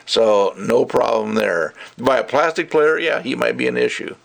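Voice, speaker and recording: A man's voice: medium pitch, lively intonation, moderate pace, vocal fry. A man giving a casual, rambling product review in an opinionated, emphatic way with conversational asides. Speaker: gadget reviewer.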